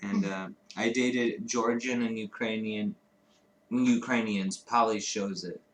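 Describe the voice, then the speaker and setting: A man's voice: on the low side, steady tone, slow pace, a little hiss or hum, poor audio. Profane rambling male livestream co-host. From Chicago, United States. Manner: rambling, profane, laughs often. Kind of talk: casual chat. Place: home streaming studio.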